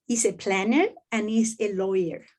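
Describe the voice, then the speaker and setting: Middle-aged woman from Venezuela, halting. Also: medium pitch, fairly even intonation, darkish tone. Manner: hesitant, many fillers and restarts. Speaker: professor presenting to admitted students. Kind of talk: online info session talk.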